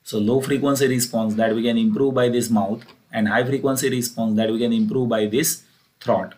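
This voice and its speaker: Indian accent guy doing a step by step tech lecture, mid pitched voice, plain and methodical. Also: steady tone, normal speed, darkish tone. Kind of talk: explanatory technical lecture over slides. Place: voice-over for a screen recording.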